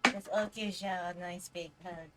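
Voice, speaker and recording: A woman's voice: on the low side, steady tone, a little slow. A woman livestreamer casually reacting to a game as she plays. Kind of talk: casual game stream commentary. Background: game music.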